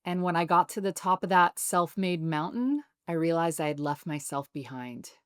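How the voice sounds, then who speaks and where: Calm, low-voiced woman author. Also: smooth, no echo, clean studio sound. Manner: calm, soothing, measured. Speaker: podcast guest, author. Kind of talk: reflective interview talk.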